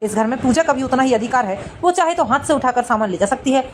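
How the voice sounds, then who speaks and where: A woman's voice: high voice, expressive, fast, a little hiss or hum, pitched below the performer's own voice. A woman voicing a stern, indignant grown son in a cartoon family drama, emphatic and devoted to his parents. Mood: indignant, scolding. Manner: scolding, emphatic, dutiful.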